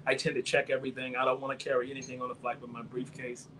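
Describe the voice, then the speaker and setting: A man's voice: medium pitch, monotone, racing, bright, forceful tone, husky, low-quality recording. A man speaking as a guest on a talk show in a casual, conversational style.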